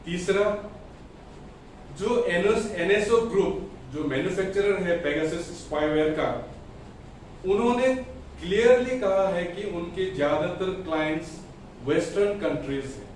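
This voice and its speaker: Echoey, high-pitched man making a political statement. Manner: emphatic, deliberate, in short phrases with rhetorical questions. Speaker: political party spokesperson. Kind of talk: press conference political statement. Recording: echoey room, distant mic, faint noise.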